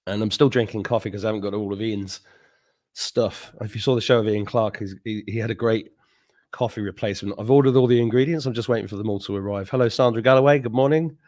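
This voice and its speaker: A man's voice: fairly low pitch, quick pace, darkish tone. A middle-aged man hosting a live stream, chatting casually with viewers, joking, laughing often and drifting into rambling asides. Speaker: host.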